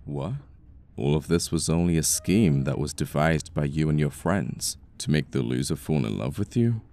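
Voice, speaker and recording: A man's voice: very deep voice, moderate pace, gravelly, mellow. A British man playing a haughty, bitter young boss who was once a nerd, in an intimate audio roleplay with formal, sardonic phrasing. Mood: hurt, pleading. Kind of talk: intimate ASMR roleplay acting.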